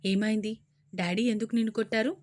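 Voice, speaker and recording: A woman's voice: medium pitch, fairly even intonation, a little fast, darkish tone, lightly acted. A woman voicing a middle-aged mother who scolds her daughter and pleads with her in a Telugu audio story. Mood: stern.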